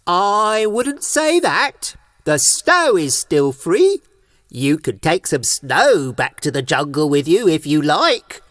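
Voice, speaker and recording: A woman voicing a stern, sardonic male Christmas elf with a calm, measured, mocking storybook delivery. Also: low-pitched, no room sound, heavily acted. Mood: dryly taunting. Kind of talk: storybook character voice.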